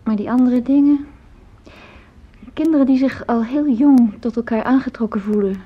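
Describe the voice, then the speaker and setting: Radio drama: frail older mother played by an actress, hesitant, gentle, breathy-rough voice, muffled lo-fi sound. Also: a little high, normal speed, lightly acted. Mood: gentle, pensive. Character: gentle ailing older mother of grown children, downplaying her illness. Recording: dull lo-fi radio play recording.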